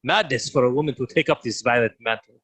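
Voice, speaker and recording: A man's voice: mid-range voice, fast, fairly bright tone, slightly rough, no echo. A man playing a contemptuous, taunting soldier in a historical play reading, with sneering, declamatory archaic speech. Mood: bitter contempt. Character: contemptuous, taunting soldier, bitter toward condemned prisoner. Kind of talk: dramatic table read of historical play.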